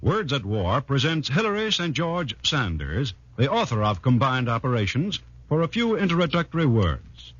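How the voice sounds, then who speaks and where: Animated American radio announcer, 1940s. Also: male voice, mid-range voice, normal speed, hardly any pauses, husky, no room sound. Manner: formal, declamatory. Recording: dull 1940s radio recording, music behind.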